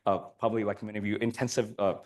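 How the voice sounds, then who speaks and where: A hoarse man giving an academic lecture, speaking softly with many ums and uhs. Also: fairly low pitch, level tone, very fast. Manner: soft-spoken, many fillers. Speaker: guest lecturer.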